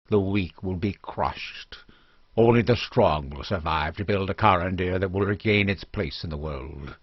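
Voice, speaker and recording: Guy acting out a cold tyrant baron, slow grand speeches, low voice, muffled recording. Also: slightly rough, no echo. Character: cold, tyrannical usurping baron proclaiming purges. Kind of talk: dramatic fantasy audiobook oration. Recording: dull lo-fi recording.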